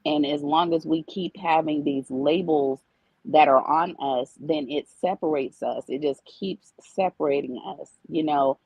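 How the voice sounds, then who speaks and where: A woman with a slightly husky, breathy voice lays out her view in an earnest, casual way, returning to the same points as she goes. The sound is dull and low-fi, and very dry. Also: a little slow, dry recording. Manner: explains at length, repeats points. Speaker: guest.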